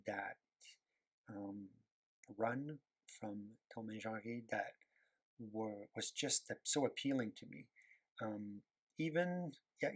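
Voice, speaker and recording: Low-voiced Québécois man rambling while drawing. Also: poor audio. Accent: Quebec French. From Quebec, Canada. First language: French. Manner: rambling, searching for words, many fillers. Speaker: animator and illustrator. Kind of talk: casual talk while drawing.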